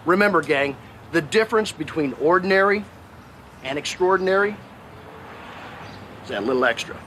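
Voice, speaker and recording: A chirpy motivational manager from a film. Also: male voice, sits high, expressive, moderate pace, fairly bright tone. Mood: rousing. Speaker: film character giving a pep talk to coworkers. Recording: distant film clip with faint noise.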